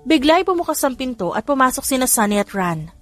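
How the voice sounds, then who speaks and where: A woman's voice: sits high, big pitch swings, brisk. A woman with a Filipino accent reading a scripted story as an audiobook narrator, acting out the dialogue. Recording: music under the voice. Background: background music.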